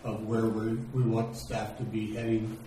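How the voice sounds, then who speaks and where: A man's voice: fairly low pitch, monotone, unhurried, dark tone. A man chairing a township council meeting, explaining procedure and the agenda item by item. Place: council chamber. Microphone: table microphone. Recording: echoey room from far off, faint noise.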